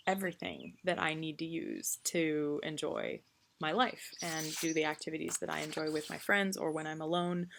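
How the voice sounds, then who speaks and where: Reflective woman in her thirties, gravelly voice. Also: low voice, a little hiss or hum. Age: 30s. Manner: earnest and reflective, frequent ums. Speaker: vlogger. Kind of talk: casual talk to camera.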